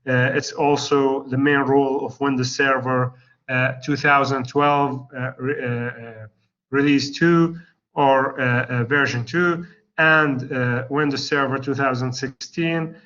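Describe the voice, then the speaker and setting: A male lecturer with an Arabic accent, losing his voice, teaching a class in a halting way with many pauses and restarts. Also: mid-range voice, fairly even intonation, fairly bright tone. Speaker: university lecturer. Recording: slightly dull lo-fi recording.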